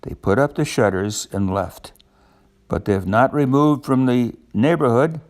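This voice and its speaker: An older man with a gravelly voice reads a children's storybook aloud in an expressive, unhurried way. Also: medium pitch. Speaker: storybook narrator.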